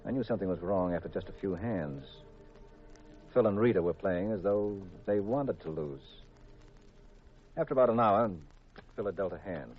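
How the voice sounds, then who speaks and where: A cool, wry gambling sleuth from a 1950s radio mystery, played by a man. His voice sits low and his delivery is dry and understated. The old recording is muffled, with a little music underneath. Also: close to an everyday voice. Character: cool, wry male amateur detective and gambler. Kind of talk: 1950s radio mystery acting, with first-person narration.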